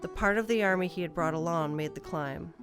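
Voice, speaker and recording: A woman's voice: lowish voice, a little fast, over music. A woman narrator reading a scripted fantasy story.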